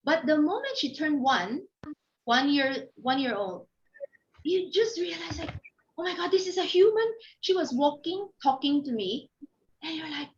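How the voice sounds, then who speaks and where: A middle-aged woman from the Philippines with a Filipino accent gives an informal motivational talk, telling personal anecdotes in an animated way and acting out thoughts and remarks. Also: sits high, measured pace. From Philippines (Manila). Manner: animated storytelling, acts out inner thoughts and quoted remarks. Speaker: guest speaker, company founder. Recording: dull, lo-fi audio.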